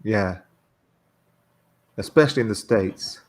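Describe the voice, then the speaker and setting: A man's voice: on the low side, takes it slowly. A British man, a guest in a casual political chat, speaking hesitantly and often repeating words as he searches for the next one.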